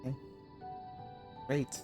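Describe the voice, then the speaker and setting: A woman's voice: slow pace, dark tone, faint music behind. A woman giving casual, questioning commentary while streaming a game.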